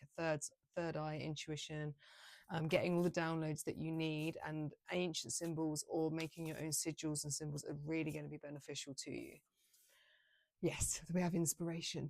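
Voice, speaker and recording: A woman with a low-pitched voice reads tarot cards in an informal, improvised way. She rambles gently as she offers encouraging spiritual guidance. The recording is dry and close, with very little room sound. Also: no echo. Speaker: tarot card reader, channel host. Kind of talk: casual, improvised tarot card reading.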